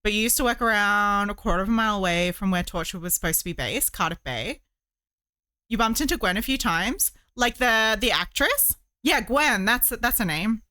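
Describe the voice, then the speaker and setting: A woman's voice: medium pitch, lively intonation, moderate pace, pushed and bright, no room sound. A woman hosting a casual livestream, chatting in a rambling way and thinking aloud as she goes. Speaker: livestream host. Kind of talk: casual livestream chat while crocheting.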